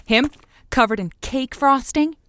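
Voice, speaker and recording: A gleeful, sardonic radio colleague in an audio sitcom. Her voice is fairly high, and she banters with casual mischief. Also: measured pace, fairly bright tone. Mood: gleeful, teasing.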